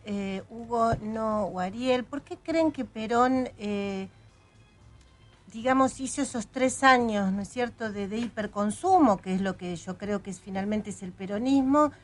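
A woman's voice: a little high, a little slow, soft background music. Argentine woman host, probing questions. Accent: Argentine Spanish. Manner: probing, thoughtful, hesitant fillers. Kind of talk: studio interview conversation.

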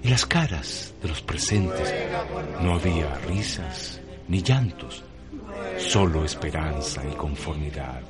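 Deep guy narrating a radio story, music behind him. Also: expressive, takes it slowly. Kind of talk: scripted dramatic story narration. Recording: clear music under the voice.

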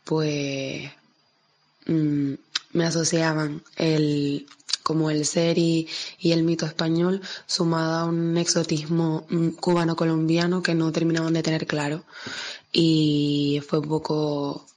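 Female guest, Canarian Spanish accent, low flat delivery, reflective testimony with hesitations. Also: slow. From Canary Islands, Spain. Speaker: guest giving a recorded testimony. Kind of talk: reflective personal account.

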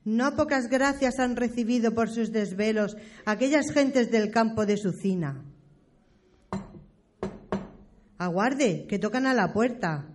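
A woman's voice: on the high side, unhurried, hardly any pauses, darkish tone, a little hiss or hum, natural voice. A woman from a radio play, with an accent from Spain, playing a priest's devoted servant in formal, old-fashioned, respectful speech. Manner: deferential, formal archaic speech. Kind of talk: period radio play acting.

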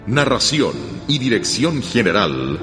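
Male drama announcer: slow, expressive, lowish voice, long reverb over music. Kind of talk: scripted radio credits announcement.

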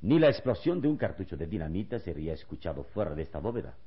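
A man playing a serene, wise adventure hero in a vintage radio serial, speaking with calm, deliberate reassurance. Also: low voice. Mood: calm, reasoning. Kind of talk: vintage Spanish-language radio serial acting. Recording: dull, lo-fi old radio serial recording.

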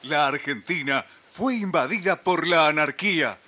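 A man's voice: a little high, lively intonation, moderate pace, over loud music, natural voice. A man acting a solemn, formal, melodramatic narrator and official in an amateur radio play, declaiming a script. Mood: solemn, dramatic.

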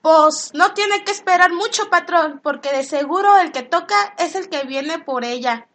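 A woman acts a sassy radio soap housemaid with a very high voice. She is blunt and cheeky, grumbles about hard work and talks back in colloquial speech. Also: normal speed, fairly bright tone. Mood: blunt, suspicious. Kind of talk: Spanish-language radio soap acting.

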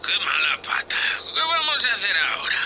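A man playing an eager, cheeky young spaceship crewman in an audio drama, chatty and excitable. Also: high-pitched, fairly quick, slight background noise, heavily acted, rough recording. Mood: curious, worried. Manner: excitable, chatty, playfully impudent. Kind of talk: audio fiction acting.

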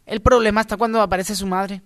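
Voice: medium pitch, fairly even intonation, fast, a bit bright, clear, resonant tone, no echo. Playful radio co-host, close dry sound. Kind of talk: casual comic radio chat.

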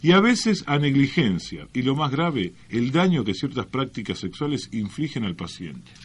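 A man's voice: medium pitch, big pitch swings, moderate pace, breathy edge. An Argentine man hosting a radio show, chatting casually and reading clippings with mock-serious irony. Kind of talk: casual comic banter, reading press clippings aloud. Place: radio studio.

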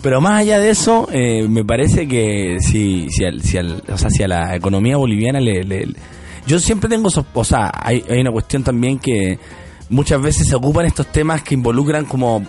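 A man's voice: medium pitch, sing-song, moderate pace, darkish tone, faint music behind. A man with a Chilean accent, co-hosting a casual talk show and speaking in a thoughtful, hesitant way with many restarts. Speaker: radio show co-host. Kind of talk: casual discussion.

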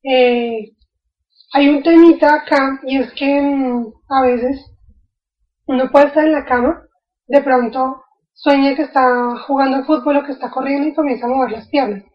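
A woman's voice: high voice, fairly even intonation, moderate pace, muffled recording. A woman explaining a topic in an informal video lecture, thinking aloud with fillers and restarts. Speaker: educator.

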